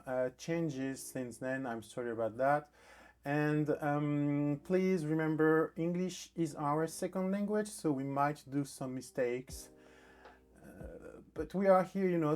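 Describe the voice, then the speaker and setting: A man hosting his channel, greeting viewers in a relaxed and slightly apologetic way. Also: fairly high pitch. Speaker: channel host. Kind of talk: video intro to viewers.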